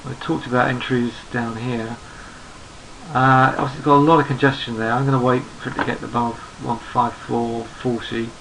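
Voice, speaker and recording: A man with a gravelly, croaky voice walks through trading charts. He is losing his voice and sounds hoarse. He speaks at a relaxed pace, thinking aloud and repeating himself as he goes. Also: medium pitch, moderate pace, slight background noise, poor audio. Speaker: trading analyst. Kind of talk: screen-recorded market chart commentary.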